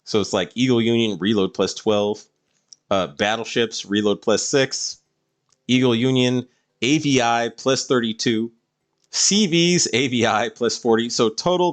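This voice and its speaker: A man doing casual live-stream game commentary, explaining things to viewers in a rambling way. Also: mid-range voice.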